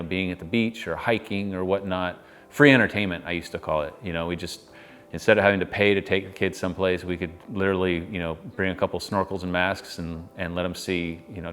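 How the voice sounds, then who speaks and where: A middle-aged man from Hawaii, a father, telling family stories in a documentary interview, with fillers. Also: low-pitched. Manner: warm storytelling with fillers. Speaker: player's father.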